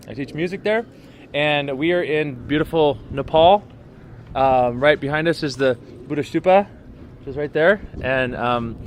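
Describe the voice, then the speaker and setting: Casual male interviewer, higher-pitched voice. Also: fairly bright tone, a little hiss or hum. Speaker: teacher interviewing students. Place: outdoors in a city.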